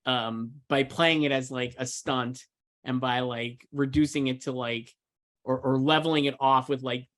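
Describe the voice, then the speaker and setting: A man's voice: medium pitch, fairly even intonation, unhurried, a bit bright. A man co-hosting a casual film discussion, talking emphatically and loosely with lots of repeated filler words. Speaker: co-host, film awards editor. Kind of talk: casual chat, film commentary.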